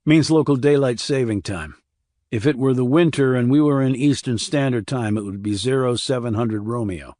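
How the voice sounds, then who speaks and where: A man's voice: mid-range voice, normal speed, dark tone, dry recording, studio quality, close to an everyday voice. A man playing a terse, wry, formal Army investigator with clipped military delivery in an audiobook. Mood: dry, wry. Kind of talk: audiobook character acting.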